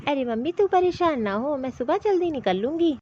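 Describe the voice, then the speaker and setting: High-voiced young cartoon daughter played by a woman. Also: lively intonation, fairly quick, muffled recording. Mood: reassuring. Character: reassuring young married daughter in a family cartoon. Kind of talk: Hindi comedy cartoon story dialogue.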